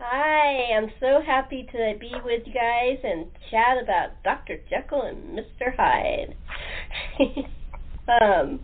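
A woman's voice: on the high side, takes it slowly. A woman hosting a casual chat show. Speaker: podcast host. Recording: thin, tinny phone-like line.

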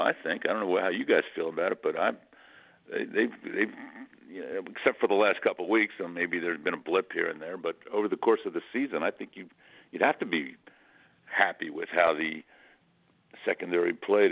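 A man talking sports casually as a radio guest, halting and often repeating words as he restarts. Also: deep voice, vocal fry, phone-quality sound.

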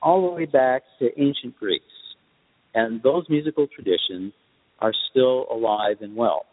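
A man explaining as a composer guest, in a measured, lecturing way. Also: medium pitch, dry recording, big-room sound, narrow, tinny audio. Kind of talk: explanatory interview.

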